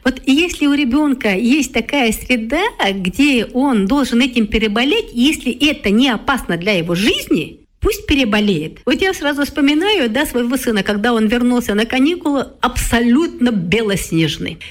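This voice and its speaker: Woman, forties, guest expert, slightly higher voice; unhurried and instructive, leans on pauses and tag questions. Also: big pitch swings. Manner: measured and lecturing, with frequent "right?" tags and pauses. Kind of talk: explanatory talk-show discussion.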